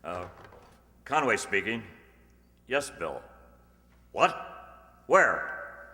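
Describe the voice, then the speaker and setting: Man as a low, forceful small-town sheriff. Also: big pitch swings, extremely slow, exaggerated voice. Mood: matter-of-fact, grave. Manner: businesslike, matter-of-fact. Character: brisk, no-nonsense small-town male sheriff. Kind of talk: amateur radio mystery play acting. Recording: reverberant hall sound.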